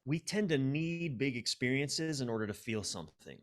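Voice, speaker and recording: A man speaking as a coach and guest in a podcast conversation, explaining ideas reflectively and haltingly, repeating key words for emphasis. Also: medium pitch, expressive.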